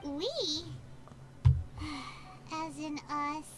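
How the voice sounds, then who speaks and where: A woman voicing a tiny childlike fairy companion in a video game, squeaky and easily spooked. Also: flat delivery, drawn-out words. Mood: uneasy, scolding.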